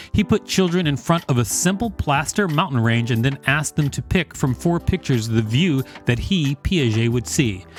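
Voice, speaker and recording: Man, podcast host, mid-range voice, informational read-aloud, music behind. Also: mid-range voice. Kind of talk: reading an encyclopedia article aloud.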